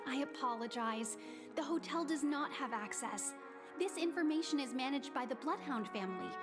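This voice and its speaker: A courteous young hotel receptionist in a game. She explains procedures in formal, polished phrasing, and her voice sits high and light. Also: animated, normal speed, music in the background. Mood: polite.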